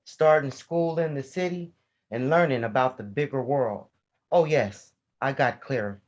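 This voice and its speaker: A man's voice: medium pitch, measured pace, lightly acted. A man in a staged play reading, playing a resolute ancestor spirit reflecting on childhood. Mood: resolute, reflective. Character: clear-minded, resolute ancestor spirit recalling a sharecropper childhood. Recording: dull, muffled lo-fi audio.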